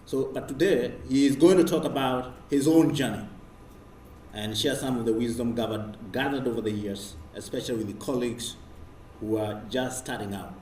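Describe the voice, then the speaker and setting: A man's voice: mid-range voice, fairly even intonation, moderate pace, a little mellow. Man introducing a speaker from off-mic. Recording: roomy, distant mic with faint background noise.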